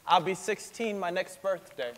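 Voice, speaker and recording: In a reverberant theatre, an earnest teenage boy acted by a young man gives testimony in a dramatic reading. His voice is on the high side, and his answers are plain, halting and respectful. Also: fairly bright tone. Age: young adult. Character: earnest fifteen-year-old boy testifying as a witness. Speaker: student actor in a staged reading. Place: large theatre stage, indoors. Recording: echoey theatre hall, long reverb.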